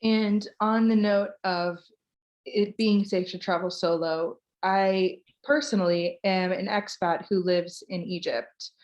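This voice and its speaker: A woman's voice: medium pitch, fairly even intonation, takes it slowly, darkish tone, highs cut off. An American woman from Florida, hosting a live online Q&A over a video call in a chatty, informal way and reading out listener questions. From United States (Florida). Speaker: host, tour ambassador.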